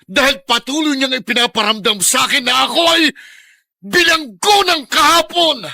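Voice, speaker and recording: Anguished ex-convict in a radio soap, super high piercing voice, close and dry. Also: female voice, moderate pace, vocal fry, sharp tone, no echo, exaggerated voice. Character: anguished, bitter former prisoner haunted by the past. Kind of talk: melodramatic radio drama acting.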